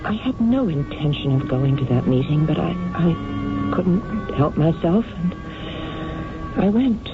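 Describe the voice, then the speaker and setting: Lead: a guilt-torn stage star, lively voice, tinny radio hiss. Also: female voice, deep voice, measured pace, music in the background. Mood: reflective narration. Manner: emotional, breathless, pleading. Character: celebrated stage actress, anguished and guilt-torn. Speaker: lead character and narrator. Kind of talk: 1970s radio drama acting.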